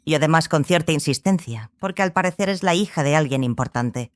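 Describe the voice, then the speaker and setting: A woman playing a self-assured sorceress mentor in an audiobook, speaking in a measured, formal, dryly ironic way. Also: sits low, expressive. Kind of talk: dramatized audiobook acting.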